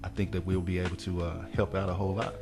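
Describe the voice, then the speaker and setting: A man with a lowish voice talks on a community radio show, pressing parents hard to show up for their children. He speaks in earnest, pleading tones, with music playing behind him. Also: flat delivery, fast. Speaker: radio guest, community mentor and counselor. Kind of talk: radio interview talk.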